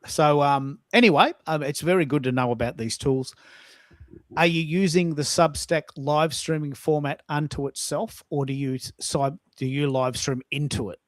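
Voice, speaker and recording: A man's voice: a little high, normal speed, no room sound, studio quality. A man hosting a casual live-stream chat, informal, rambling and blunt in his way of talking. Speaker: host, social media coach.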